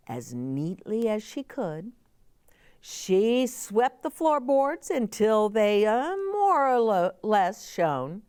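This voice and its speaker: A woman's voice: on the high side, a little mellow, no room sound, studio quality. A middle-aged woman reading a children's story aloud, expressively, with chatty asides explained simply as if to young children.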